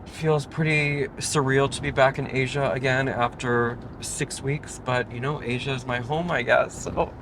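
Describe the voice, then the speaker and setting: A man's voice: medium pitch, fairly even intonation, a little slow, few pauses, noisy background. Chatty upbeat man vlogging while traveling. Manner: chatty and upbeat, talking to viewers. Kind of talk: casual travel vlog narration. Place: airport terminal, then car on the road.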